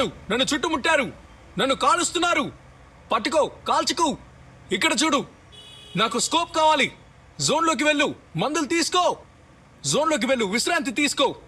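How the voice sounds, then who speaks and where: A man voicing an urgent, excitable squadmate in a battle royale video game, giving clipped commands. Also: very high-pitched, normal speed, pauses often, exaggerated voice. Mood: urgent alert. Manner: clipped, imperative callouts. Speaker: game character voice.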